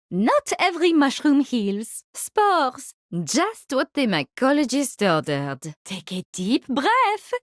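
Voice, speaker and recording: A woman's voice: high-pitched, no echo, studio quality. A woman playing an eccentric, cheerfully morbid French mushroom scientist in a video game, with a French accent and a wry, theatrical delivery. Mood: darkly playful. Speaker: video game character voice lines. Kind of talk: video game hero barks.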